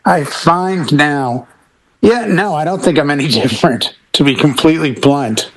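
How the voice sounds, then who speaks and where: Male DJ panelist with a fairly high voice, loose and jokey in conversation. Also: moderate pace, low-quality recording. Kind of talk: casual, joking chat.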